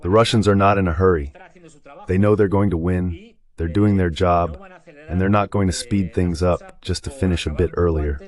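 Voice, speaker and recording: A man reading a translated voice-over of political and military commentary in a measured delivery. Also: deep voice, steady tone.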